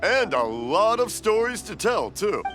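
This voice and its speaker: A kindly old father from an anime game, cheerful and a bit hard of hearing. The voice is fairly high and swings widely in pitch, slow and jolly, with faint music underneath. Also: sing-song. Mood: kindly, relaxed. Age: older. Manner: jolly, slow, drawn-out, easily puzzled.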